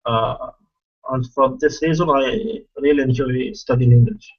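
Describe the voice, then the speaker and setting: A man from Saudi Arabia, a native Arabic speaker, answering hesitantly with many pauses in an online English class. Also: mid-range voice, fairly even intonation, highs cut off. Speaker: student. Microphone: video call.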